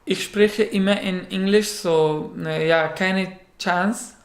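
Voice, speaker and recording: Afghan man, Pashto native, unhurried and halting interviewee, roomy echo. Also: sits high, steady tone. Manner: halting, many fillers, searching for words. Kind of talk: casual interview.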